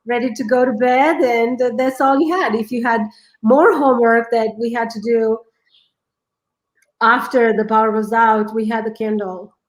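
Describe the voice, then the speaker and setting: A woman in her forties from Romania with a Romanian accent, telling her life story as a guest in an earnest, halting way. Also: on the high side, roomy sound, low-quality recording. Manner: earnest, halting, many restarts. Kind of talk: personal storytelling.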